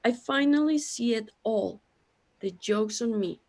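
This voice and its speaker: A woman's voice: on the high side, a little slow, darkish tone. A woman acting an anxious, bewildered character overwhelmed by existential dread in a sci-fi audio drama. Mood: awed, incredulous.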